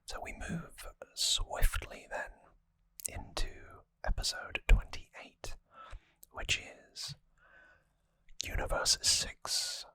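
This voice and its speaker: An ASMR host whispering breathily and close to the microphone, explaining things softly and informally with some hesitation. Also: very slow, no room sound. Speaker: host of a trivia ASMR show. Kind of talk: ASMR whispered episode recap.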